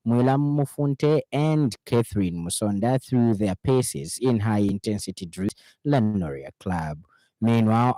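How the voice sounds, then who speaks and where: A Zambian man presents the radio news from a script. His voice is low and mellow, and he keeps a formal, measured delivery. The studio sound is close and dry. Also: no room sound, poor audio. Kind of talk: scripted news bulletin reading.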